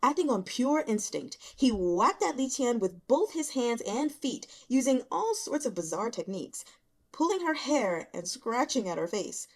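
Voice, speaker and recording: High-voiced, animated woman narrator. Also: normal speed. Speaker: audiobook reader. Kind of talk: expressive scripted fiction narration.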